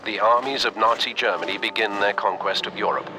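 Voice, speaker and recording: An actor playing a grave wartime documentary narrator in a video game, portentous and deliberate. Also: male voice, fairly low pitch, background noise, natural voice. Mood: grave, dramatic. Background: music and battle sounds.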